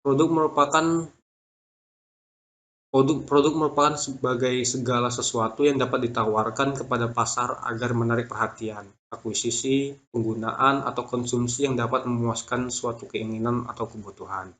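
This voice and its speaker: Young male, mid-pitched voice, student presenter reading from prepared text with stumbles and restarts. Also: fairly even intonation, moderate pace, fairly bright tone. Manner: stumbles over words and restarts them.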